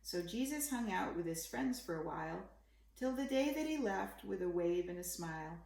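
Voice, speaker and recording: A woman's voice: on the low side, expressive, normal speed, vocal fry, a little mellow, echoey room, poor audio. A woman reading a rhyming children's Bible story aloud in a simple, dramatic way, as if to a young child.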